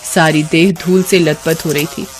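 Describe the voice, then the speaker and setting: A woman's voice: low-pitched, fairly even intonation, unhurried, a little hiss or hum. Woman narrating a literary story.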